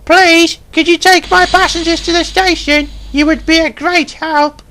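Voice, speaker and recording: A man's voice: very high voice, flat delivery, moderate pace, a little hiss or hum, voice pushed up. A man voicing an eager young boy steam engine, earnest and pleading. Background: loud background music.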